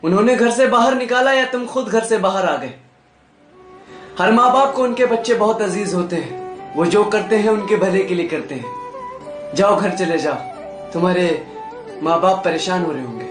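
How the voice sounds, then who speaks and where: A man's voice: high voice, moderate pace, long pauses, lightly acted. A young man playing an earnest, defensive village youth from Bihar or UP. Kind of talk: acted Hindi drama. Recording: music playing behind the voice. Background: music.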